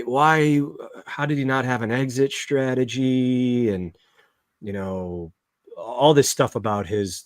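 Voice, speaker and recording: A man's voice: lowish voice, a little mellow, dry recording. Man hosting a casual chat, dry sound. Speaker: host of a fan discussion show. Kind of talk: casual panel chat about a TV show.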